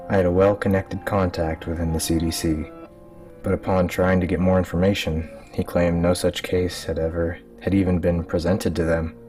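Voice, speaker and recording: A man's voice: low voice, a little mellow. A man reading a scripted horror story as a dramatic narrator. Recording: distant mic with music underneath. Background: music.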